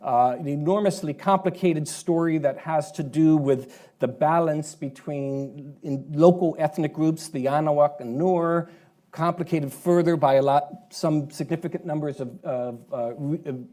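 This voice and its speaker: A man on a discussion panel, giving a measured briefing that is full of pauses and "uh" fillers. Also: on the high side, dark tone. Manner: measured, halting with many fillers. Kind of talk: panel talk.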